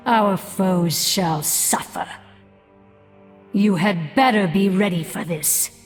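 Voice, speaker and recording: A woman playing a grim, haughty undead archer queen in a video game, menacing and deliberate under a ghostly reverb. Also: on the low side, faint music behind. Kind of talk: video game character voice lines. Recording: long reverb on voice.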